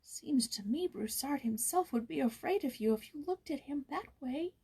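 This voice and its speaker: Storybook role: scared, sorrowful young boy, played by a woman, high and trembling, timid delivery. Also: lively intonation, normal speed. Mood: hushed, secretive. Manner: tremulous, sometimes dropping to a hushed whisper.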